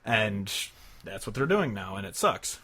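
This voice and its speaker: A man's voice: fairly low pitch, big pitch swings, a little slow, bright, forceful tone. A man co-hosting a casual music discussion, talking in a blunt, exasperated way with some swearing. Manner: blunt, profane, exasperated complaining. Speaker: podcast co-host.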